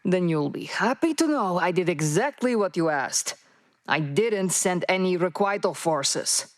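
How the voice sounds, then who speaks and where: An actress playing a cold, commanding, vengeful woman who leads a criminal organization in a video game, speaking deliberately. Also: lowish voice, expressive. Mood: coolly amused. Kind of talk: scripted video game cutscene acting.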